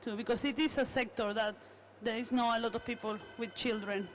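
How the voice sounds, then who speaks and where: A woman's voice: on the high side, fairly even intonation, brisk, a little hiss or hum. A woman from South America giving opinionated, conversational remarks in an informal group discussion. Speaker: discussion participant, parent.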